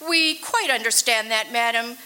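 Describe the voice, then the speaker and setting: Prim hospital receptionist, played by a woman: bright, high, crisp and formal. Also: moderate pace. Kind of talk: live stage radio play.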